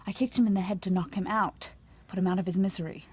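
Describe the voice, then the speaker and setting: Woman acting tough secret rebel lady, very breathy husky voice, urgent n hushed, radio play. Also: mid-range voice, steady tone, fast, natural voice. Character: tough, secretive female resistance member. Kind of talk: audio drama dialogue.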